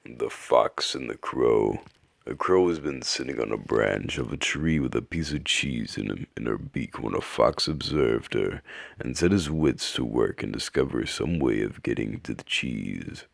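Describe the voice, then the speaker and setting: Man putting on a very deep, gravelly old storyteller. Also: expressive, unhurried, no room sound, exaggerated voice. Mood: solemn, unhurried. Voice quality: raspy. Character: old man narrator telling classic animal fables. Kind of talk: slow storybook narration.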